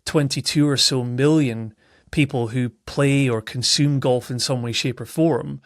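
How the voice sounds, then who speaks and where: A man in his thirties from Scotland speaks as a podcast guest. He tells his story in an earnest, reflective way and often restarts his sentences. His voice has a medium pitch and a dry, close sound. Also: steady tone, unhurried, dry recording. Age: 30s. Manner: thoughtful, earnest, with self-corrections and fillers.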